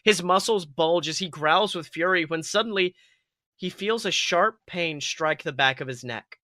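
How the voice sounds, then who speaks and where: A man reads fiction aloud as a podcast host, with theatrical, emphatic narration. His voice is very bright and a little high, and the recording is dry. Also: moderate pace, no room sound. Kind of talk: dramatic story narration.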